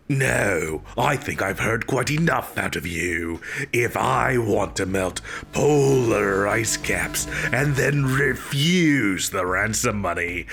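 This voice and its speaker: A man's voice: low voice, gravelly, bright, forceful tone, exaggerated voice. A man playing a petulant, theatrical supervillain boss in a comedic audio roleplay, with a pompous, melodramatic manner. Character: petulant, theatrical male supervillain boss, grandiose but exasperated.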